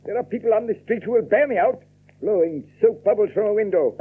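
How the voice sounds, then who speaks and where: Villainous gentleman, high husky voice with sweeping intonation, commanding and impatient, tinny radio-drama sound. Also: lively intonation, fast talker. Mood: scornful, threatening. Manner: pompous, commanding, clipped. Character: overbearing, menacing, impatient wealthy male cousin. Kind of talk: theatrical audio drama acting. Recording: thin, band-limited old radio-drama recording.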